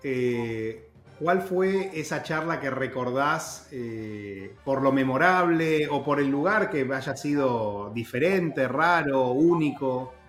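A man hosting a casual interview, asking relaxed, encouraging questions. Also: mid-range voice, takes it slowly, reverberant, soft background music. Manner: relaxed, affirming, uses vos. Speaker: host.

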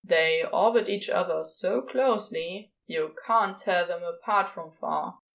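A woman voicing a reserved, tender male demon who speaks haltingly in an audiobook-style fan fiction reading. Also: fairly low pitch, vocal fry, a bit bright, poor audio. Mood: wistful. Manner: hesitant, halting.

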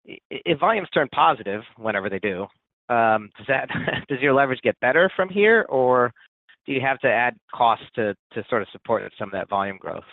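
Halting male analyst on a dry phone line. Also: mid-range voice, no echo. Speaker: analyst asking a question. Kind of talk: conversational questioning on an earnings call. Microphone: telephone.

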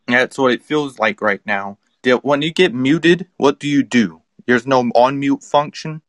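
A man's voice: mid-range voice, measured pace, a bit bright, no echo. A man in his twenties hosting a casual chat on a live audio app, explaining things in an easygoing, rambling way. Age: 20s. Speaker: host.

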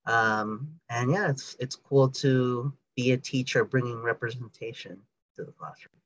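Male guest, mid-pitched, hesitant casual delivery, muffled lo-fi recording with no room echo. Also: a bit bright. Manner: hesitant, halting, many "like"s. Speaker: podcast guest, teacher. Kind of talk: casual, reflective talk. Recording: dull, slightly lo-fi microphone audio.

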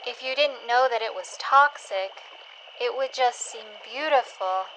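A woman playing a curious, wistful scavenger in an audio drama, speaking in a gentle, hesitant, questioning way. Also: on the high side, a little slow, slight background noise, poor audio. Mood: wistful, dreamy.

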